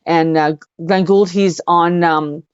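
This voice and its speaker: Woman, lowish voice, effusive interview guest telling stories casually, slightly dull audio. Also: steady tone, slow, clear, resonant tone, no room sound. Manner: effusive, repeats words for emphasis. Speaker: interview guest, singer-songwriter and actress.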